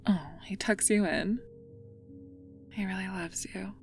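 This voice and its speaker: A woman playing a playful, regal and wistful goddess in an intimate ASMR roleplay, breathy and often whispering, teasing and musing slowly. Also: medium pitch, faint music behind. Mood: intrigued, musing.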